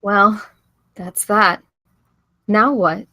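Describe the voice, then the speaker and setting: A woman's voice: mid-range voice, very slow, sharp tone, dry recording, full character voice. A woman playing a resolute survivor in a table read of a thriller screenplay. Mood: grim, determined.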